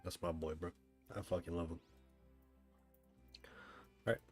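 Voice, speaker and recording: Low-voiced man casually reacting to a game. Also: flat delivery, a little slow. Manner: sweary, slangy, rambling. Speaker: game streamer. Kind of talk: casual reaction commentary over gameplay. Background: faint game music.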